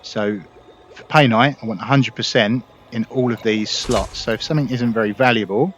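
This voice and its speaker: A man calmly explaining what he is doing as he plays, thinking aloud in a casual gameplay walkthrough. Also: medium pitch, moderate pace. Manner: calm, explanatory, thinking aloud while playing. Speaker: gameplay video host. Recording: voice over loud game engine noise. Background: loud spaceship game sounds.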